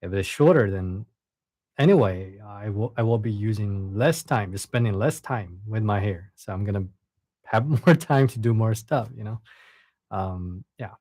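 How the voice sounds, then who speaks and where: A young man with a Chinese accent, a native Chinese speaker chatting casually on a live stream, soft-spoken and hesitant, often repeating words. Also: on the low side, a little slow, no room sound. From China. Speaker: live streamer reading stories.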